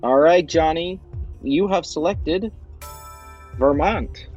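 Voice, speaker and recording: A man's voice: a little high, slow pace, darkish tone, highs cut off, soft background music. A young man hosting a fan-made quiz show, reading out questions and answer choices in an upbeat quizmaster manner.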